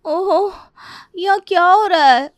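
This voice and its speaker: A woman's voice: extremely high pitch, slow, no room sound. A woman playing a whiny, modern young daughter-in-law who complains dramatically in a Hindi family story. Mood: exasperated. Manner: exasperated, dramatic complaining with exclamations.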